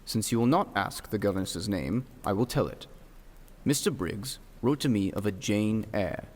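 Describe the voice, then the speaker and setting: Man playing a stern, low-voiced, formal clergyman. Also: lively intonation, a little mellow. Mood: formal, measured. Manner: formal, measured, dry. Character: reserved, stern young English clergyman, coolly formal and teasing. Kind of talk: audio drama acting. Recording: long hall-like reverb.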